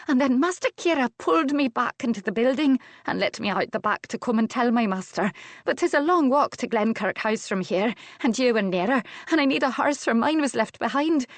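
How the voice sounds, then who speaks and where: A woman's voice: sits high, no echo, voice pushed up. A woman voicing a loyal, tearful young Scottish maidservant in an audiobook. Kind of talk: audiobook character acting.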